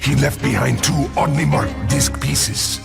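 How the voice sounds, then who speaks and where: Grave, creaky-voiced bearer of warnings. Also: male voice, medium pitch, steady tone, measured pace. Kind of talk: dramatic animated series acting. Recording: loud music behind the voice.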